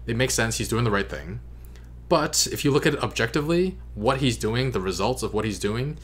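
Low-voiced young man, halting and earnest. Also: a bit bright. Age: young adult. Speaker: reaction video host. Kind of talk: casual reaction commentary. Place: small bedroom, indoors. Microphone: desk microphone.

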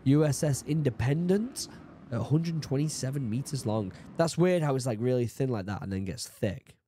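A man in his thirties from the UK, a bit hoarse from being sick, chatting casually as he reacts to a video and reads labels aloud, excited now and then. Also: mid-range voice, moderate pace, slight background noise. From United Kingdom. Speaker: YouTube reaction host. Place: home study with bookshelves. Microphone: studio mic on boom arm, headphones.

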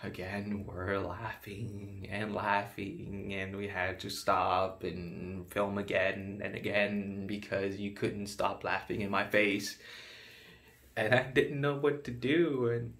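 A man performing a dramatic monologue as an unhinged failed actor, stammering and repeating himself, veering from whispers to shouts. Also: on the low side, flat delivery, takes it slowly. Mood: bitter.

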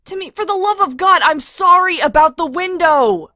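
A woman's voice: very high-pitched, animated, normal speed, pushed and bright, dry recording, studio quality, full character voice. A young woman playing an exasperated, earnest peacemaking sister in an audio drama, often pleading. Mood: pleading, upset.

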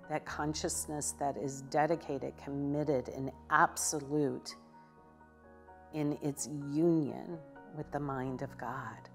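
A woman minister giving a contemplative devotional teaching in a living room, with measured delivery and occasional hesitations. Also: low-pitched. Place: living room with a sofa, indoors.

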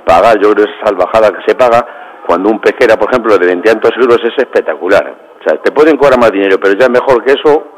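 A man with a lowish voice, calling in over a phone line to a radio show. He talks proudly and at length about his restaurant's food and wine. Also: fast. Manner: proud, talkative, repeats "espectacular". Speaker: restaurant manager.

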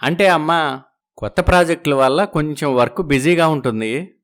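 A man's voice: a little high, moderate pace, a bit bright, natural voice. An evasive young husband caught between two families, as a man acts him out. He soothes and makes excuses in a slightly raised voice.

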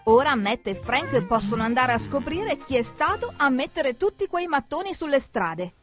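A woman's voice: high-pitched, moderate pace, over music. A woman narrating a children's cartoon from a script, expressively, speaking straight to the characters. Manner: expressive, addresses characters directly.

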